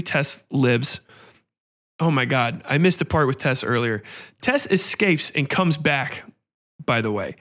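Sweary, higher-voiced man ranting about film. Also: fairly bright tone. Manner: profane, blunt, ranting; sarcastic. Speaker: podcast host reviewing a horror film. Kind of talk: casual opinionated film commentary.

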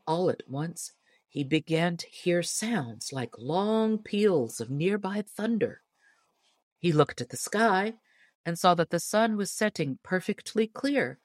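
A woman's voice: low voice, dry recording, clean studio sound. A woman reading a storybook tale aloud as scripted narration. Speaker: audiobook narrator.

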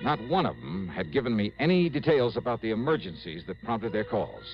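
Harried radio detective, American, wry, dramatic, very animated pitch, vintage muffled sound. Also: male voice, brisk. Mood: confiding, wry. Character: brisk, harried, loyal male insurance investigator. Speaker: lead and narrator. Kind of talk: 1960s radio detective narration and acting. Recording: muffled old radio, music underneath.

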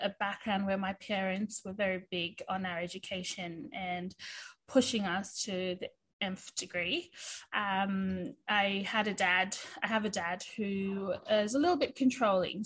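A woman in her early thirties, chatting openly as a podcast guest. Her pitch sits in the middle and her talk runs loose and friendly, full of "um"s and restarts. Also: fairly bright tone. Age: 30s. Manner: chatty, many fillers, laughs easily. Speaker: interview guest. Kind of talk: casual interview.

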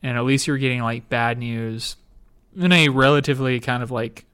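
A man's voice: medium pitch, steady tone, normal speed, fairly bright tone, clean tone. A man co-hosting a podcast, recounting things in a casual, colloquial, conversational way. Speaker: podcast co-host.

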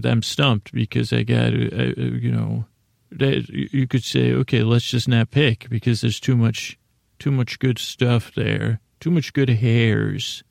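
A man's voice: medium pitch, vocal fry, darkish tone, no echo, clean studio sound. A middle-aged American man hosting a bedtime podcast, rambling in a meandering, self-deprecating way, often stammering and interrupting himself. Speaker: podcast host.

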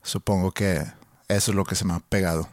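A man's voice: low-pitched, flat delivery, fairly quick, no echo. Man co-hosting a podcast, Monterrey accent with a foreign edge, hesitant, dry close sound. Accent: Monterrey Spanish with a foreign touch. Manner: hesitant, self-conscious, repeats words. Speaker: podcast co-host. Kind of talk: casual podcast chat.

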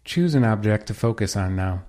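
Male meditation guide, lowish voice, measured and soothing instructional read. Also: lively intonation, warm tone. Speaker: meditation teacher.

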